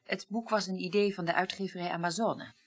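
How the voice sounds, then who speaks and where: A woman's voice: lowish voice, fairly even intonation, brisk. An actress playing a detached, wry female former reporter in a radio play, speaking articulately and dryly. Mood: matter-of-fact. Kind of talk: 1980s Dutch radio play acting.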